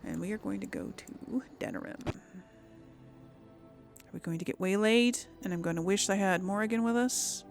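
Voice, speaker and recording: A woman's voice: mid-range voice, normal speed, vocal fry. A woman giving casual game commentary, thinking aloud and chatting to her viewers. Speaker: gamer hosting a let's play. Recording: game music behind the voice. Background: video game music.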